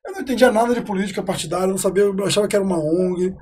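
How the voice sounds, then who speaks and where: A man in his forties from Rio de Janeiro, a guest telling his life story in a relaxed, talkative way on a podcast. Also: high-pitched, racing, slight background noise. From Rio de Janeiro, Brazil. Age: 40s. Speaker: guest, city councillor. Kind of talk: casual storytelling interview.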